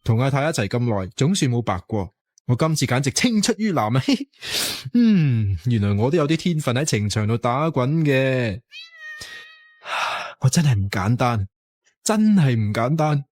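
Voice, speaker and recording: Casting: lovesick, insecure young Hong Kong Cantonese suitor, radio drama, very animated, mid-pitched, flowery and exclamatory. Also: male voice, unhurried, no echo. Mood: giddy, triumphant. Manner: theatrical, playfully flowery, exclaiming. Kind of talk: radio drama acting with comic inner monologue.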